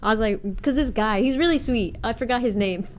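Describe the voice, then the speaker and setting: Chatty teen woman, high voice. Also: fairly quick, a little hiss or hum. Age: young adult. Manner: chatty, laughing, heavy on "like" and "bro". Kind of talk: casual chat.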